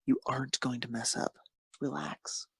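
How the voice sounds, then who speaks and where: Man reading as a sly, gravelly young best friend. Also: mid-range voice, fairly even intonation, normal speed, a bit bright, no echo, heavily acted. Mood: stern, reassuring. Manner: teasing, pointed. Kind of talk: amateur fan fiction reading.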